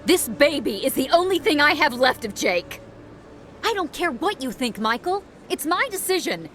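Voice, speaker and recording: Woman playing an animated, defiant grieving widow. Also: mid-range voice, brisk, soft background music, lightly acted. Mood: defiant, upset. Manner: defiant, emotional. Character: grieving, stubborn pregnant young widow. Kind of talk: video game drama dialogue.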